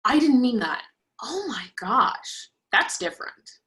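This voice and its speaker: A woman reading the part of a chatty, naive young college roommate in a play, expressive and opinionated. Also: a little high, slow, heavily acted. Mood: defensive, naive. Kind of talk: virtual play reading, naturalistic dialogue.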